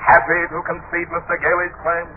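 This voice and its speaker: A man's voice: on the high side, completely flat delivery, brisk, natural voice. A man playing an indignant, formal state prosecutor in old-time radio drama, pompous and declamatory. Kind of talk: 1940s radio acting. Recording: thin, phone-like old radio recording with hiss.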